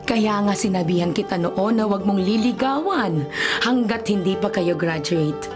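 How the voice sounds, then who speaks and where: A woman's voice: mid-range voice, unhurried, over music, lightly acted. A woman playing a doting, meddling middle-aged mother in a Filipino radio drama, teasing and pushy, with a Filipino accent. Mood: teasing, insistent.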